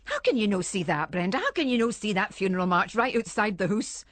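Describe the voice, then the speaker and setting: Anxious, chatty Scottish housewife, close dry sound. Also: female voice, medium pitch, big pitch swings, a little fast, fairly bright tone, no room sound. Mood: agitated. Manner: rambling, homely, nervous chatter in broad dialect. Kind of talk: dramatic monologue for radio.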